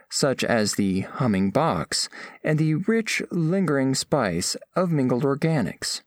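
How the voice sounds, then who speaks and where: A man's voice: medium pitch, dry recording, clean studio sound. A man playing a formal, curious alien scholar in an audio drama, narrating observations of humans with precise, clinical phrasing.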